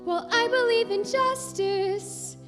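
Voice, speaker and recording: A woman's voice: very high voice, slow. A young woman singing as a bitter teen girl. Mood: bitter, sung. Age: young adult. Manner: sung lament, sharp. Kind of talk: musical theater singing. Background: guitar accompaniment.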